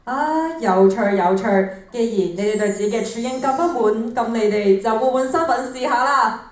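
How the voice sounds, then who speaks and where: A woman's voice: on the high side, moderate pace, far from the mic, close to an everyday voice. Echoey, playful teenage girl as a whimsical god. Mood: amused. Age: teens. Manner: teasing, amused. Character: playful, whimsical god who grants wishes. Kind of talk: stage radio drama acting. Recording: echoey hall, off-mic.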